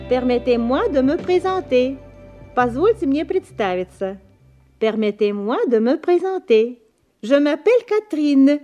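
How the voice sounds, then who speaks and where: A woman hosting a scripted bilingual radio language lesson as guide and interpreter, formal and deliberate, repeating phrases. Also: high-pitched, mellow.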